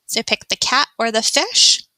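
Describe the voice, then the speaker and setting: A woman's voice: fairly high pitch, sing-song, unhurried, sharp tone, dry recording. A woman teacher reading a science text aloud and guiding young pupils through it in an instructional way. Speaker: elementary teacher. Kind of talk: read-aloud science lesson for children.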